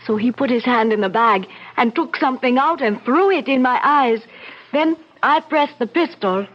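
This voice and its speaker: An actress playing an excitable young foreign woman in a 1970s radio drama, speaking in halting, breathless phrases on a muffled old broadcast. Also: high voice. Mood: shaken.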